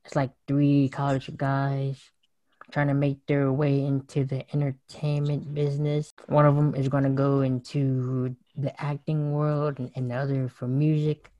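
A speaker chatting casually with short relaxed replies. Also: medium pitch, unhurried, dry recording, dull audio. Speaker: co-host. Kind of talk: casual chat.

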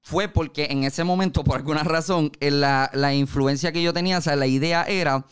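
A man's voice: fairly high pitch, steady tone, brisk, clear, resonant tone. A man with a Puerto Rican accent, a music producer being interviewed, telling stories in casual conversation. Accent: Puerto Rican Spanish. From Puerto Rico.

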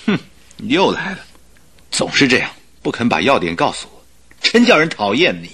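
A man's voice: medium pitch, very animated, moderate pace, a bit bright, slightly rough. A man playing a detective's skeptical, nagging friend in a radio drama, grumbling and familiar. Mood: exasperated.

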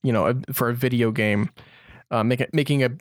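A man's voice: mid-range voice, fairly even intonation, fairly quick, no room sound, studio quality. A man co-hosting a podcast, chatting casually as he works through fan questions. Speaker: podcast co-host.

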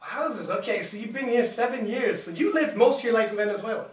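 A man's voice: high-pitched, lively intonation, quick pace, far from the mic. A young man from Canada hosting a casual live interview show, chatty and laughing often, full of fillers. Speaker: host. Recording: roomy sound, mic set back.

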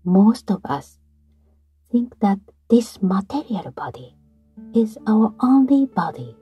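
A woman guiding a breathing meditation in a slow, soft voice that often drops to a whisper. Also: mid-range voice, very animated, breathy edge. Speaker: meditation guide. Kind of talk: guided meditation narration. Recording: soft guitar music behind.

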